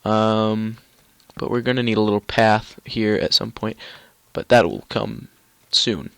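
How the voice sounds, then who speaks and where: A man's voice: on the low side, steady tone, unhurried, vocal fry, fairly bright tone, no echo. A young man with a stuffy, nasal cold, rambling casually through gameplay commentary with lots of ums and restarts. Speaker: gaming YouTuber.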